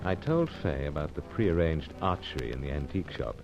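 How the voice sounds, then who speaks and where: An actor playing a suave British secret agent, clipped, terse and wry, in an old radio serial. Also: male voice, a bass voice, sing-song, fairly quick, over music, full character voice. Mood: narrating. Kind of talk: mid-century radio serial acting with narration. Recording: dull, noisy old radio recording.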